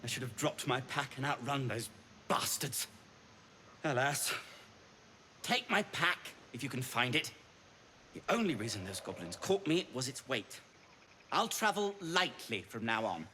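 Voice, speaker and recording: A bitter deep gnome. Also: male voice, sing-song, unhurried, long pauses, sharp tone. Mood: sour. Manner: bitter, sardonic muttering. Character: grumbling male deep gnome, sour and wary. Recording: faint background noise.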